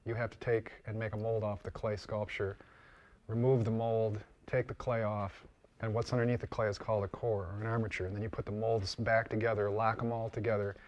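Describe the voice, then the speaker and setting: A man with a fairly low voice and very little melody explains his craft in an informal interview. He speaks haltingly, circling back to repeat and rephrase as he goes. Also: flat delivery, darkish tone. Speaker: interviewed costume and prop maker.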